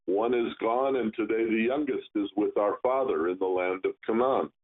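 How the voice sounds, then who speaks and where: A man's voice: lowish voice, moderate pace, natural voice. A man reading remorseful grown brothers. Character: guilty group of grown brothers. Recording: tinny phone-like radio sound.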